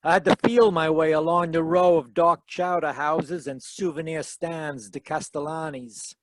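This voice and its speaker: A wisecracking, tough detective played by a man, pitched high for a gumshoe. The delivery is dry and wry, in the manner of 1940s radio drama. The sound is close and free of room echo, taken from a live video call. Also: steady tone, a little slow, natural voice. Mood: wry narration. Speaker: actor narrating and playing lead detective.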